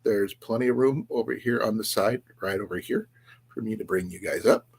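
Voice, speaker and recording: The guy who runs a small outdoors livestream on a weeknight, a little raspy, wandering from point to point and stopping to answer whoever is in the chat. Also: lowish voice. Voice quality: slightly raspy voice. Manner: rambling, halting delivery with restarts and trailing asides. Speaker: livestream host of an outdoors and preparedness channel. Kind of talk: casual livestream chat, reading and answering viewers' comments.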